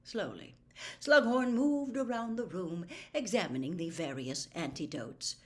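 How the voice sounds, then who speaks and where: A woman reading a novel aloud as a storyteller, with deliberate, dramatic and expressive narration. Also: on the low side. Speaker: audiobook reader.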